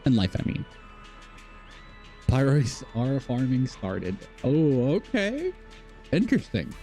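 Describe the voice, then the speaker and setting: Slow, dark-voiced man rambling on a game stream. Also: medium pitch, wildly swooping pitch, soft background music. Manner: rambling, repeating words as he thinks aloud. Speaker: live streamer. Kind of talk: casual live game stream chat.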